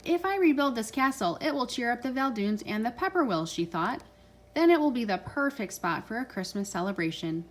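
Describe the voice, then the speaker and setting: A woman's voice: fairly high pitch, animated, brisk. A woman reading a children's storybook aloud as a parent reading with a child.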